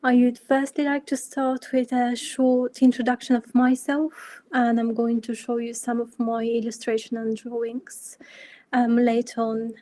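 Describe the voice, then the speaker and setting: A young woman in her twenties from Bulgaria presenting her work in an online talk, measured, with frequent ums. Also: fairly high pitch, flat delivery, warm tone. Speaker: architecture student speaker.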